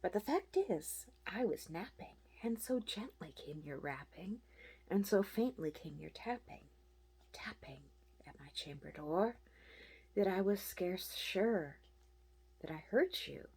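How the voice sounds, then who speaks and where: A woman performs a poem in a soft, husky voice with a dark, mellow tone. Her delivery is slow and theatrical. She moves between whispered phrases and emphatic, punched words. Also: medium pitch, expressive. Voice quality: soft and breathy, often dropping to a whisper. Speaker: poetry reader. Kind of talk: dramatic literary poetry recitation.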